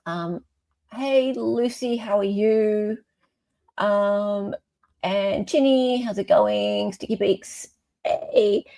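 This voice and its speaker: Australian woman chatting with creaky voice. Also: medium pitch, very slow, warm tone, no echo. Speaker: live stream host. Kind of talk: casual opinionated chat.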